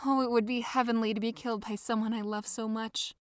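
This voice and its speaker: A woman's voice: on the high side, level tone, fast, clean tone, dry recording, clean studio sound, natural voice. A woman playing a lovesick, devoted young woman in an audio drama, pleading earnestly and dramatically. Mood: reproachful, devoted. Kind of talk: theatrical audio drama acting.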